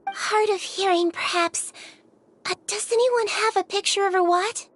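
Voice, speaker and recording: A woman voicing a gentle, earnest young nurse in a video game, speaking in a polite, measured, caring way. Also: very high-pitched. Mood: polite, concerned. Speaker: nurse and doctor character. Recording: music behind the voice.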